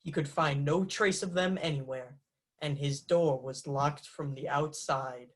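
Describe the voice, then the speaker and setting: A man's voice: on the high side, steady tone, fairly bright tone. A young man narrating a student radio play in an announcer-like manner. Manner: slow, announcer-like delivery.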